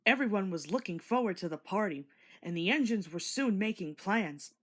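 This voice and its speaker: A woman reads a children's storybook as narrator. Her delivery is expressive and animated, with widely varied pitch around an ordinary middle register. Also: moderate pace.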